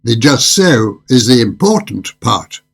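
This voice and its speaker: An actor playing a sinuous, overly courteous and sinister restaurant owner with a smooth purring voice in a radio horror drama. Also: male voice, medium pitch, big pitch swings, measured pace, a bit bright, heavily acted. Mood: unctuous. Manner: effusive, elaborately courteous.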